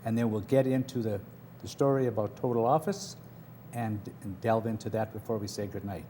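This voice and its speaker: A man's voice: lowish voice, steady tone, a little fast, dark tone, a little hiss or hum. A man hosting a local TV interview in a studio, asking long, rambling questions with restarts. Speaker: TV talk show host.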